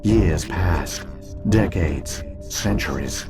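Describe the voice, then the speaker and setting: An ancient alien machine intelligence from a video game, low-voiced and grave. It speaks with weary, deliberate solemnity, while loud music plays behind every word. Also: male voice, full character voice. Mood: solemn, resigned. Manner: grave, measured, deliberate storytelling. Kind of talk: scripted video game exposition.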